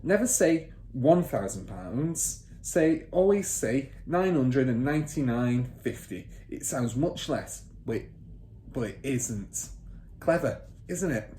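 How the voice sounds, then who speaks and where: Man putting on a loud, brash, boastful storybook dad. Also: medium pitch, sing-song, slow. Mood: smug, emphatic. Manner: loud, pompous, emphatic. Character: boastful, brash, bullying father, a crooked car dealer. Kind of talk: children's storybook character voice. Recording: roomy echo, faint background noise.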